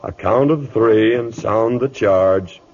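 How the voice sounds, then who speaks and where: An actor playing an aging, gruff cavalry captain in an old radio drama, giving clipped orders with dry, proud understatement. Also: male voice, fairly low pitch, slow, a crowd in the background. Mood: commanding. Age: older. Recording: dull, noisy old radio recording.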